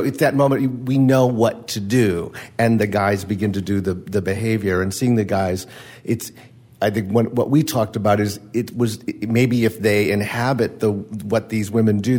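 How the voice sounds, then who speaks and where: Man, slightly low voice, stage director, thoughtful, halting delivery. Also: a little mellow. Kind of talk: panel discussion.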